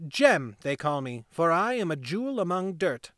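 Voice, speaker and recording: A man voicing a cocky young street pickpocket boy who boasts loudly. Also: very animated, moderate pace, dry recording, studio quality. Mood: boastful. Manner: boastful, high childish bluster.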